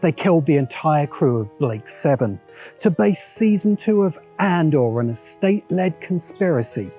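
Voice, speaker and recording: A man hosting a video essay, reading scripted narration in a measured, emphatic way with dramatic pauses. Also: a little high, sing-song, warm tone, faint music behind.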